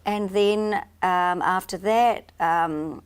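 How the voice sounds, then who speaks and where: A woman's voice: lowish voice, very slow, smooth. A woman, a guest on a chat show, talking confidently and chattily and often laughing at her own remarks. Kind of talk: casual chat interview.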